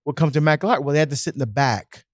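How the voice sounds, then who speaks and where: A man's voice: a little high, very fast, no room sound, clean studio sound. A man, a parish priest, talking in a casual podcast discussion and telling stories with frequent restarts. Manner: storytelling, frequent restarts and repeated words.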